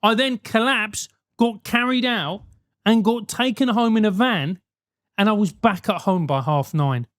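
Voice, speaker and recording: South London male host, animated advice rant, loose and profane, dry close mic. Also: high-pitched, a little slow, no room sound. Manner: animated, emphatic, swears freely.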